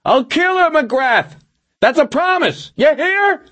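A man voicing a panicked, cornered villain in an audiobook, screaming frantic high-pitched threats in a West Coast American accent. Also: expressive, slow, bright, forceful tone, no room sound, full character voice. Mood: frantic, threatening. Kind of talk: audiobook character voice.

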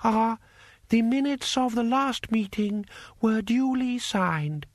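A man voicing a timid, fussy eunuch scribe in an audiobook, nervous, deferential and drawn-out. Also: very high voice, dry recording, studio quality, voice pushed up. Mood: anxious, apologetic.

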